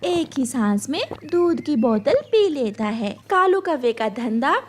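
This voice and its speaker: A woman's voice: high voice, sing-song, a little slow, a little hiss or hum. A woman reading a scripted children's cartoon story as narrator.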